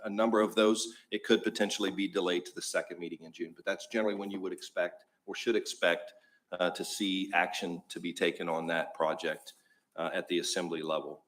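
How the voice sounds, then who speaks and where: A man, a borough staff official, giving a measured procedural report at a public meeting, with occasional fillers. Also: deep voice, little pitch movement, fairly bright tone.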